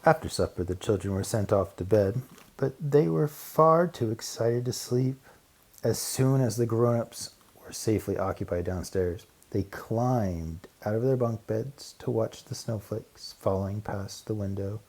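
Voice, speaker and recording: A man narrating a children's storybook aloud as an audiobook, with deliberate, dramatic pauses and emphasis. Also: on the low side, darkish tone.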